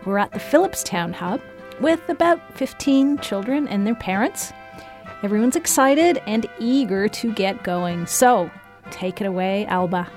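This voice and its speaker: A woman presents a community radio segment and interviews a young baker in a friendly, encouraging way. Her voice is mid-pitched, and faint music plays underneath. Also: expressive, measured pace, a little mellow. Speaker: radio program host.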